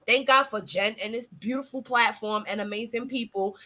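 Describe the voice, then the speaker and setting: High sharp-voiced woman going off, blunt and slangy. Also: normal speed. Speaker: guest. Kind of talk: casual ranting chat.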